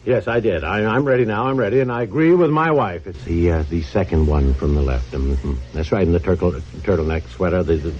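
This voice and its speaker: An actor playing an exasperated, stammering, blustery suburban husband in a mid-century American radio comedy. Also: male voice, low voice, expressive, brisk, hardly any pauses, darkish tone. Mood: hesitant. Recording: muffled, noisy old radio recording.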